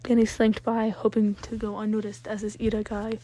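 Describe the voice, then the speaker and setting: A woman's voice: mid-range voice, completely flat delivery, a little fast, mellow, no room sound. A woman reading scripted fiction aloud as an amateur story narrator.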